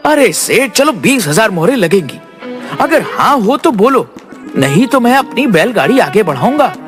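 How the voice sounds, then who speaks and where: An actor playing a cunning, boastful young farmer's son in a cartoon story, with exclamatory, persuasive delivery. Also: male voice, high-pitched, very animated, normal speed, music in the background. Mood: pushy. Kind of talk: Hindi cartoon story dialogue.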